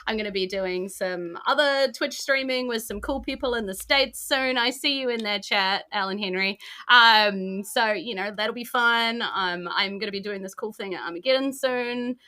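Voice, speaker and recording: A woman's voice: mid-range voice, normal speed, hardly any pauses, pushed and bright. A woman with a New Zealand accent, chatting casually as a podcast host and game master in a bubbly, rambling, giggly way. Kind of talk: casual unscripted sign-off chat.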